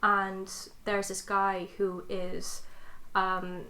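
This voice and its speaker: A woman's voice: medium pitch, monotone, drawn-out words, pushed and bright. A young adult woman co-hosting a podcast, chatting casually and informally. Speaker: podcast co-host. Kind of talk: casual chat.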